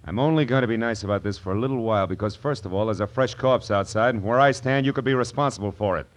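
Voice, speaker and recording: An actor playing a hardboiled, wisecracking private detective, dry and commanding, in 1940s radio crime drama style. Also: male voice, fairly low pitch, fast talker, close to an everyday voice. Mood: firm interrogation. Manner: dry, sardonic, wordy, commanding.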